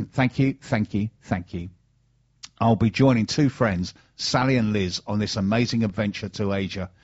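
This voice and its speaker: A middle-aged British man hosts a radio show in a fairly low voice. He moves between casual chat and sponsor messages. The recording sounds a little dull and band-limited. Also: normal speed, darkish tone, slightly rough.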